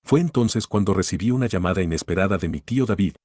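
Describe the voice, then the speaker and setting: Deep-voiced man narrating a story. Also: expressive, fast talker, a little mellow, husky, no echo, clean studio sound. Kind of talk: first-person story narration.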